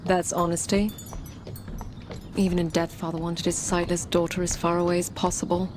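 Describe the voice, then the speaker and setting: A woman playing a blind young princess in an audio game, wry and bitter yet vulnerable, with measured delivery. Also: on the low side, monotone, background noise, close to an everyday voice. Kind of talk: dramatic audio game narration and dialogue.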